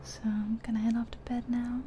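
A woman playing an easygoing night-shift taxi driver, musing softly to herself in a breathy ASMR roleplay. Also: fairly high pitch, little pitch movement, brisk, slight background noise, natural voice. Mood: calm, musing. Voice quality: breathy whisper. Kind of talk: soft-spoken whispered ASMR roleplay.

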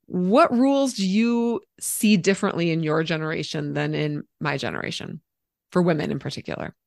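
Brief: younger woman, podcast guest, lively and chatty, slight fry, dry close sound. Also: low-pitched, normal speed, no echo. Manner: animated, laughs often, quotes herself. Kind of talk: casual conversation.

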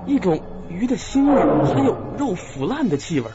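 A man's voice: high voice, sing-song, moderate pace. An actor playing an earnest, brave young man, smitten and protective, in a suspense radio drama. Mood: alarmed. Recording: dull lo-fi sound, loud music behind.

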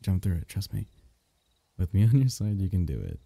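Man voicing a very dark, gravelly, doting alien symbiote. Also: low-pitched, huge pitch swings, a little fast, no echo, heavily acted. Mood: gentle coaxing. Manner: soothing, coaxing, chuckling. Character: lonely, doting alien symbiote wrapped possessively around its host. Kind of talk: intimate ASMR roleplay monologue.

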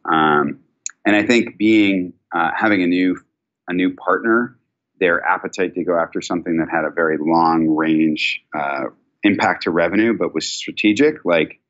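A middle-aged man answering business questions conversationally as an executive guest, thoughtful and halting, over a video call. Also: low-pitched, fairly bright tone. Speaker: company chief executive, guest. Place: indoor office, video call. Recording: dull video call audio.

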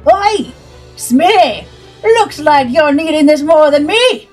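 A woman's voice: sits high, animated, slow, faint music behind, pitched above the performer's own voice. A woman voicing a boisterous gap-toothed sailor in his late sixties, crowing in folksy, back-to-front sailor speech. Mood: gleeful.

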